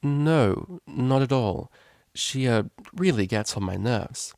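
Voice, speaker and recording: A man voicing a prim, proud young mare, a cellist, who speaks in a formal, measured, articulate way in a storybook reading. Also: mid-range voice, expressive, vocal fry, a little mellow, no echo, studio quality. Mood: guarded, polite.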